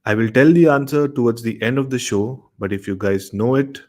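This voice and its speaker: A man's voice: medium pitch, a little fast, a little mellow. A man in his thirties from Maharashtra, India, with an Indian accent, hosting and narrating a horror story show. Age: 30s.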